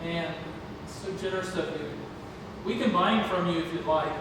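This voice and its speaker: A man's voice: high voice, normal speed, lightly acted. A man playing a busy, distracted but earnest father in an amateur stage play reading. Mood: grateful. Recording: distant echoey hall, noisy.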